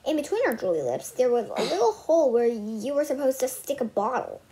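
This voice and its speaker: High, animated young girl reading aloud. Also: a little fast. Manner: stumbles over words and repeats phrases. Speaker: read-aloud host. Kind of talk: reading a children's book aloud with casual asides.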